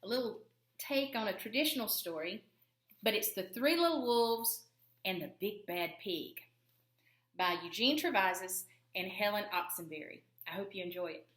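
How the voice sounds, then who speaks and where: A woman's voice: medium pitch, moderate pace, a bit bright, reverberant. A woman reading a storybook aloud to children in a theatrical, emphatic way. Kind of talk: expressive read-aloud narration for children.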